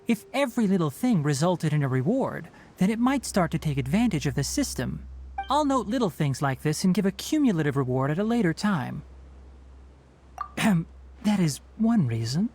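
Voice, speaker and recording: Young forest ranger in a game, kinda wry n teacherly, voice moves up and down a lot. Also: male voice, a little high, sing-song, normal speed, darkish tone, slight background noise. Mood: firm. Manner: instructive, matter-of-fact, slightly wry. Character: young male forest ranger, knowledgeable, dry, gently strict. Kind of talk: video game character acting.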